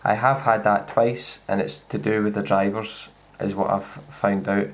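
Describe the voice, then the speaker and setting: A Scottish man talks through a laptop demo in a low voice. His speech is flat and gravelly, and he stops often for fillers and restarts. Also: normal speed. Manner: relaxed, with many fillers and restarts. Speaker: tech reviewer. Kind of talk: casual hands-on demo. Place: indoors at a wooden table. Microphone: handheld camera filming a laptop screen.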